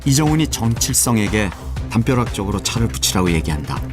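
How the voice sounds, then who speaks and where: A man narrating a radio drama in an even, descriptive storytelling manner, with music underneath. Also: on the low side, quick pace.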